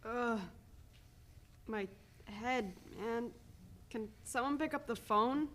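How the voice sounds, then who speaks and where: A woman's voice: fairly high pitch, slow pace, fairly bright tone. A woman playing a groggy, bewildered man waking up confused in a staged play reading.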